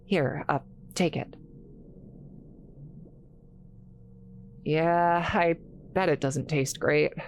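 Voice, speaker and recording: A woman playing an awkward, nervous scientist in an audio drama, rambling haltingly as she talks to a patient. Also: deep voice, slight background noise. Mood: coaxing, awkward. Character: flustered awkward young woman government scientist tending an alien. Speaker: voice actor. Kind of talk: scripted roleplay audio drama, one-sided dialogue.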